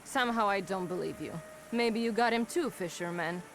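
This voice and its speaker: A sharp-tongued street woman, voiced by an actress, talks in a mocking, distrustful way. Her voice sits at a middle pitch. Faint ambient noise runs beneath her. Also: normal speed, natural voice. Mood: suspicious. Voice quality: mocking and suspicious.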